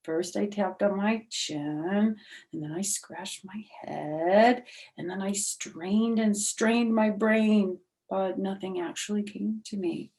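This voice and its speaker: A woman reading a children's storybook aloud in an animated storytelling style. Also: medium pitch, takes it slowly. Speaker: storybook reader.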